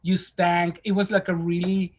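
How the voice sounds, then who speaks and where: A man's voice: high voice, level tone, normal speed, a little hiss or hum. A man raised in Venezuela, a native Spanish speaker, telling stories bluntly and with animation as a guest on a casual podcast.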